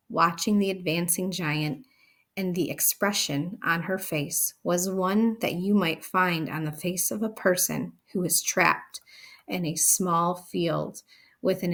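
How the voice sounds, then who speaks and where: A woman reading a children's story aloud as expressive scripted narration. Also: fairly low pitch. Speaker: storybook reader.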